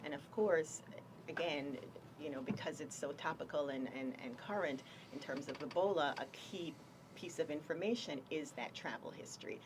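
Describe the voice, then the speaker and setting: A woman from Jamaica, a doctor explaining her work in a radio health interview, measured and full of pauses. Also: low-pitched, distant mic, background noise. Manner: measured, explanatory, frequent ums. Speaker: infectious diseases doctor, guest.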